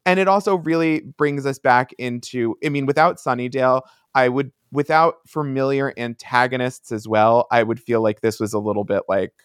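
A man talks as a podcast co-host in a casual, enthusiastic way. His voice sits at a middling to lowish pitch for a man. The sound is dry and close, with no room on it. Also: moderate pace, hardly any pauses, clean tone, no room sound. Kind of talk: casual fan discussion.

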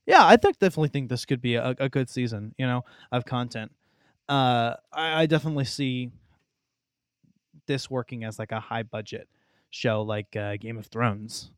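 Male, young adult. Smooth, chatty, rambling podcast co-host, recorded close and dry. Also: mid-range voice, moderate pace, no echo. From Texas, United States. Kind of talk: casual video game discussion.

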